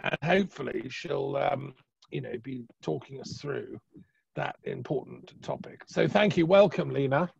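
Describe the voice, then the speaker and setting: A man hosting an online conference and introducing a speaker informally, halting and often restarting, over muffled call audio. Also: mid-range voice, gravelly, darkish tone, breathy edge, no room sound.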